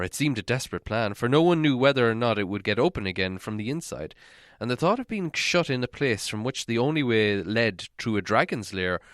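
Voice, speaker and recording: A man's voice: medium pitch, dry recording. Dry, close-sounding male storybook narrator. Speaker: audiobook narrator.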